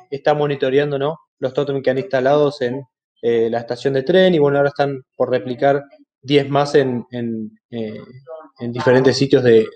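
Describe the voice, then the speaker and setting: A middle-aged man with an Argentine Spanish accent explaining products in a webinar, in a halting, explanatory way. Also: fairly high pitch, warm tone. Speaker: webinar presenter. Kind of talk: product presentation over slides.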